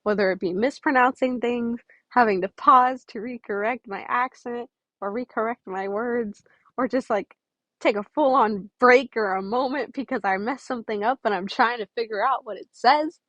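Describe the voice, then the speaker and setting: Casting: female amateur story reader, higher register, casual and conversational, dry and slightly lo-fi home sound. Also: moderate pace, a bit bright, no echo. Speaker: podfic reader. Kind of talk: story narration and casual chat to listeners.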